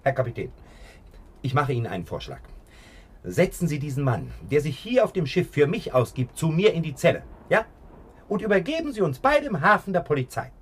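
An actor playing an indignant detective held prisoner in an old German radio crime drama, arguing urgently and emphatically. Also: male voice, fairly high pitch, big pitch swings, fairly quick, husky. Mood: insistent, pleading.

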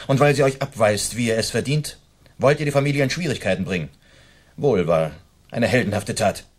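An actor playing a bold, chivalrous masked outlaw in a German radio play, with a courtly, mocking and commanding manner. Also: male voice, medium pitch, fairly quick, lightly acted. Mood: scornful.

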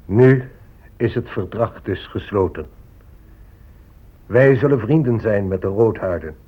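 An actor playing a greedy, impatient settler negotiator with a husky voice and an insistent manner in a radio drama. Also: male voice, lowish voice, animated, measured pace. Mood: solemn, official. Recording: muffled, narrow-band old radio recording.

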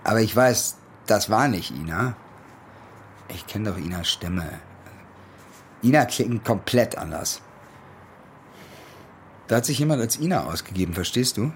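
An actor playing a traumatized, sleepless young soldier who confides haltingly and repeats his words in a radio drama monologue. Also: fairly low pitch, expressive. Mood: uneasy, insistent. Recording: clear background noise and ambience.

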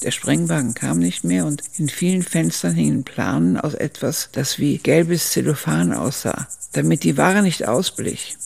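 An actress playing an aged woman who looks back on her youth in a radio drama, narrating in a measured, reflective, husky voice. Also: low-pitched, a little mellow, full character voice. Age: older.

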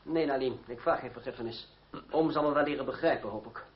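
An actor playing a proud, cool young gentleman fencing master in a radio play, speaking formally with measured irony. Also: mid-range voice, close to an everyday voice. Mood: wounded, respectful. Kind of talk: Dutch radio play acting. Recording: dull, noisy old radio recording.

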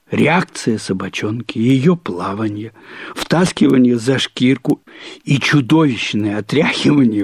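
A man narrating a first-person literary short story in a deliberate, wryly humorous manner, with measured pauses and repetitions. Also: mid-range voice, creaky, a little mellow, breathy edge, no room sound, clean studio sound.

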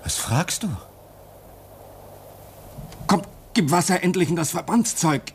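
A man's voice: high voice, lively intonation, a little slow, a little hiss or hum. A surly injured crewman. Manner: gruff, surly. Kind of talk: radio play acting.

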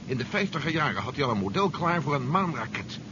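An actor playing an earnest, pressing army general on the run in a 1970s radio drama. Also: male voice, mid-range voice, big pitch swings, quick pace, close to an everyday voice. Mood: earnest, explaining. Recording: dull, noisy old radio recording.

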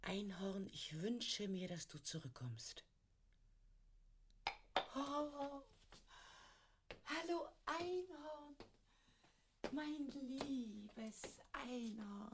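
Brief: an emotional, put-upon mum in a radio play, animated and fairly high, some noise behind. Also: female voice, very slow, long pauses, exaggerated voice. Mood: hushed, tender. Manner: exasperated, emotional. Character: worn-out, put-upon mother of a bickering family. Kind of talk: radio drama acting.

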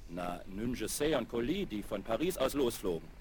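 A folksy airfield hand with a deep voice. He is chatty, curious and quick to exclaim in amazement, in a radio play with clear record noise. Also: very animated, darkish tone. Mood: astonished. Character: chatty, frank airfield mechanic, friendly and easily amazed. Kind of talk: German children's radio play acting.

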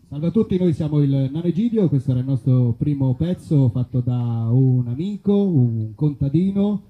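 Man, fairly high voice, casual stage presenter, dry offhand humour, phone-like recording. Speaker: stage show presenter and performer. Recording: thin phone-like live recording.

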